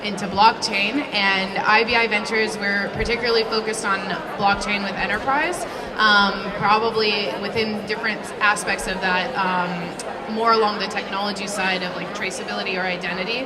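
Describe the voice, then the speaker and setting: A woman's voice: medium pitch, steady tone, normal speed. A woman investment director introducing herself and her work as a panelist. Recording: distant mic, loud crowd chatter.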